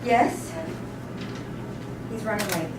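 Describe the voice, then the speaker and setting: An actress playing a stoic, dutiful female servant in a dramatic stage reading, giving terse, restrained, deadpan answers. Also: mid-range voice, takes it slowly, distant mic, close to an everyday voice. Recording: echoey room from afar, noisy.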